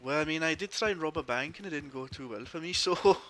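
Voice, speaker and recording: A man's voice: on the high side, quick pace, fairly bright tone, a little hiss or hum, close to an everyday voice. A man with a Welsh accent playing an amiable small-time Wild West bandit in an online roleplay game, chatty, polite and a little hesitant. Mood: sheepish, amused. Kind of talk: improvised in-character game chat.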